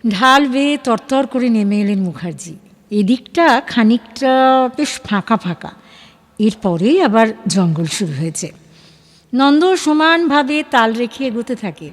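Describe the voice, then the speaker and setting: A woman narrating an audio story in an expressive, dramatic scripted style that builds suspense. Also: a little high, unhurried.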